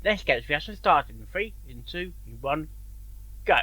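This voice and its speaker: Man reacting casually, very animated pitch. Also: normal speed. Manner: brief interjections, echoes phrases back. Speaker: reaction video host. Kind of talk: casual live reaction commentary.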